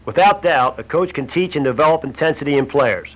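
A man's voice: on the high side, animated, fairly quick. A man reading scripted narration for an instructional basketball film, as a shooting instructor. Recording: noisy old Super 8 film soundtrack.

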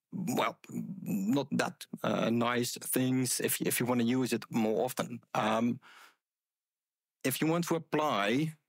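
A man's voice: medium pitch, creaky, no room sound. Hesitant man giving a conference talk. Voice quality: loud voice. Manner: hesitant, many ums and uhs. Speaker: conference speaker. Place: conference room with audience. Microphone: headset mic.

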